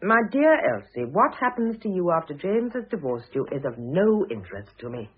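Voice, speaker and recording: An actress playing a shrewd, composed middle-aged divorced wife in a classic radio play, dry and poised. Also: female voice, on the low side, very animated, normal speed, breathy edge. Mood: dry, amused. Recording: narrow, phone-like old radio recording.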